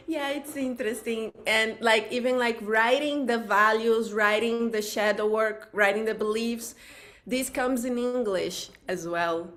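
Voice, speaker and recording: Slow, high Brazilian woman. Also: fairly even intonation, fairly bright tone. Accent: Brazilian Portuguese. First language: Portuguese. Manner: hesitant, searching for words, laughs often. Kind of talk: casual live stream chat.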